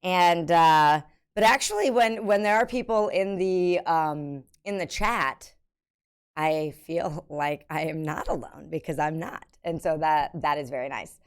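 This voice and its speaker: Young American woman streamer, lower-pitched, loose chatty delivery with fillers. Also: unhurried, clean tone. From United States. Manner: chatty and rambling, full of ums and self-mocking laughs. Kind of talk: casual live-stream chat reading the chat.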